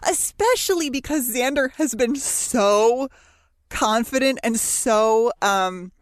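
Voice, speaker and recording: Slightly high-voiced woman, casual co-host. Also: animated, takes it slowly, dry recording, studio quality. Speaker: podcast co-host. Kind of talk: casual chat about a TV show.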